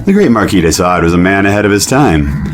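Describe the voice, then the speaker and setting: A man with a very deep voice reads scripted narration in a grandiose style. His delivery swings noticeably in pitch as he rolls out long, wordy sentences, with music behind him. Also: big pitch swings, quick pace. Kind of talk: scripted mock-documentary narration.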